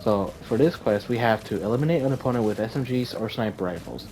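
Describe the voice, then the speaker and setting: Young man, tired voice, lowish pitch, rambling gameplay talk, lots of fillers, faint hiss behind. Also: quick pace, darkish tone, poor audio. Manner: rambling with many fillers and restarts. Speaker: gaming YouTuber. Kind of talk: casual gameplay commentary.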